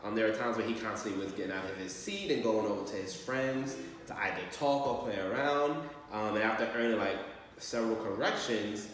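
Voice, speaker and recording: Low-voiced man in a very echoey room. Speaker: school staff member calling a parent. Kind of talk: training role-play of a parent phone call. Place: large echoey room, far from mic. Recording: very echoey hall, distant mic, faint noise.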